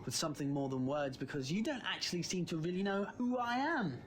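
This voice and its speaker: A man's voice: sits high, lively intonation, a little fast, faint music behind. A man with a British accent playing a wry, confident time traveler in a sci-fi audio drama, theatrical and flippant. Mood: grave, defiant. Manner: flippant, theatrical, swinging from playful to grave.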